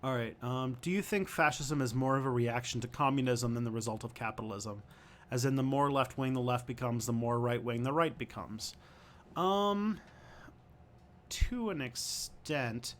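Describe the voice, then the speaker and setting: A young man from Canada chats through viewer questions on a livestream, pausing and restarting as he thinks out loud. His voice sits at an ordinary middle pitch. He sounds casual and unscripted. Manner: thinking aloud, hesitant with many ums and restarts.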